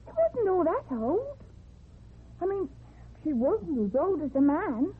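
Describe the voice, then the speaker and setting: A woman's voice: extremely high pitch, sing-song, slow, exaggerated voice. A woman playing an innocent, matter-of-fact little girl in a radio horror drama. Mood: earnest, curious. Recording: muffled, band-limited old radio recording.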